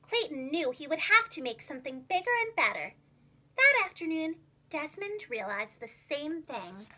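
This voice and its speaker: Animated, high-pitched woman reading a children's story. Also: moderate pace. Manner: animated, carefully enunciated. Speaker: preschool teacher reading a storybook aloud.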